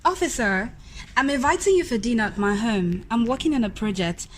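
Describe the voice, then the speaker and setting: An actress with a Nigerian accent playing an eager, persistent TV news reporter in a radio drama, brisk and polite. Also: female voice, on the high side, lively intonation, slight background noise, lightly acted. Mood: inviting.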